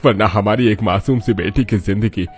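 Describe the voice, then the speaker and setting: Man as low-voiced worried cartoon father. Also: normal speed, close to an everyday voice. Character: worried middle-aged father seeking a rich match. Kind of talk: Hindi cartoon story dialogue. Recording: music bed behind the voice.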